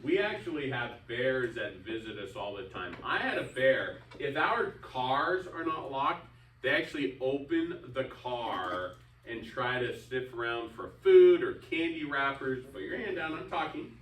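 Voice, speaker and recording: A man's voice: mid-range voice, very animated, unhurried, fairly bright tone. A man teaching an elementary class informally, chatting and breaking off to correct pupils. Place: indoor classroom. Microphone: distant room microphone. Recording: roomy, distant mic, faint background noise.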